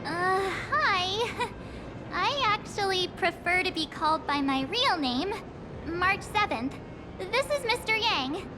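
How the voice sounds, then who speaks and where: A woman's voice: very high-pitched, animated, slow pace, noisy background, heavily acted. A woman voicing a perky, cute teenage girl adventurer in an anime-style video game, casual and a little huffy. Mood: awkward, friendly.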